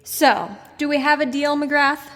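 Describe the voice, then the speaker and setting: A woman's voice: high-pitched, measured pace, fairly bright tone. An actress playing a smug, sarcastic female supervillain with a mocking, theatrical manner in an audio drama. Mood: smug, gloating. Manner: mocking, theatrical, breezily threatening. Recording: long echoing reverb, faint noise.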